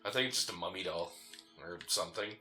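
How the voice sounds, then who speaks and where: A man's voice: sits low, fast talker, bright, forceful tone, soft background music. A man co-hosting a gaming show, chatting casually and arguing in a teasing way as he comments on the game.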